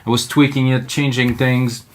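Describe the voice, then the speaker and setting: A man's voice: medium pitch, level tone, normal speed. French Canadian man rambling through a tutorial. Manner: loose, rambling, thinks aloud with fillers. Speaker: music production tutor. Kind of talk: live music production tutorial. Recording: electronic music playing under the voice. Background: faint electronic music.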